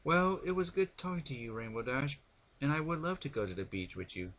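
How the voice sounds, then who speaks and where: A man's voice: mid-range voice, expressive, fairly quick, fairly bright tone, pitched above the performer's own voice, close to an everyday voice. A man voicing a polite, refined female cartoon pony in a story reading, busy and apologetic, with a hesitant manner. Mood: polite, evasive.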